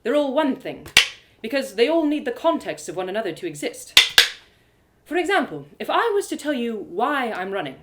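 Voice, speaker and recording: A woman's voice: on the high side, sing-song, moderate pace, very noisy, voice pushed up. A woman voicing a proud, spirited young female elf warrior who lectures emphatically in an audio drama. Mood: earnest, explaining.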